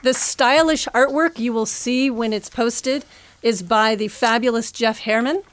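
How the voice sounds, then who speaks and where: Female show announcer, fairly high voice, scripted sign-off delivery, noisy live bookstore recording. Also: measured pace, crowd noise. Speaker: host and announcer. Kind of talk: scripted closing credits announcement.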